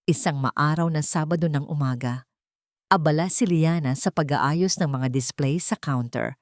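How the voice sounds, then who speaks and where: A woman narrating a scripted dramatic story in a sentimental, measured storytelling manner. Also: sits low, no echo, studio quality.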